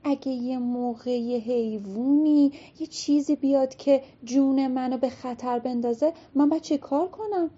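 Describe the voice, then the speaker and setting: A woman's voice: sits high, hardly any pauses, dark tone, dull audio, voice pushed up. A woman voicing a worried young hunchbacked man in a told folktale, speaking anxiously and pleading. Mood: fearful.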